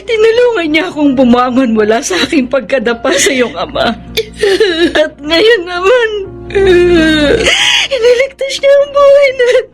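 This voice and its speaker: A woman's voice: very high voice, very animated, normal speed, shouting, faint music behind. An actress playing a dutiful, emotional mother in a Filipino radio drama. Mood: anguished, resolute.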